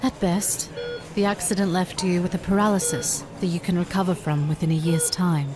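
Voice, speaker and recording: Actress as a low-pitched, formal woman doctor. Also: steady tone, close to an everyday voice. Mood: grave, clinical. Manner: measured, formal, clinical. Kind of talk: audio drama acting.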